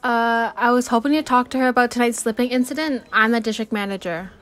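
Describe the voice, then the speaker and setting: A breezy, matter-of-fact woman manager in a radio comedy, acted by a woman. Her voice sits fairly high. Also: fairly even intonation, fast talker, a bit bright, close to an everyday voice. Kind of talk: amateur radio play acting.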